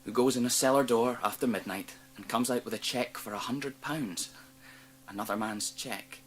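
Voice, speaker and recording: Man playing an uneasy young gentleman student. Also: mid-range voice, normal speed, soft background music, lightly acted. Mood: wary. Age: young adult. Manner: hesitant, questioning. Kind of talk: radio drama acting.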